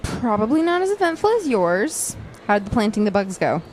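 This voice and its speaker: A woman playing a supportive student journalist, gentle and lightly sarcastic, in naturalistic audio drama. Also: mid-range voice, sing-song, a little fast, a little hiss or hum, lightly acted. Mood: light, bantering. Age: young adult.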